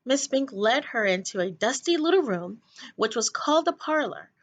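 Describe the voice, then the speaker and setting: Woman, teacher, high pitch, very lively intonation, children's read-aloud narration. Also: moderate pace. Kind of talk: expressive children's story narration.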